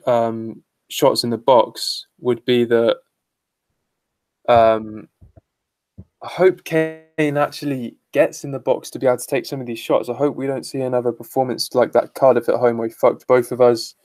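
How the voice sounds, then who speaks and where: A man's voice: mid-range voice, level tone, moderate pace, darkish tone, no room sound. A man co-hosting a fantasy football podcast in casual chat, speaking bluntly and swearing freely.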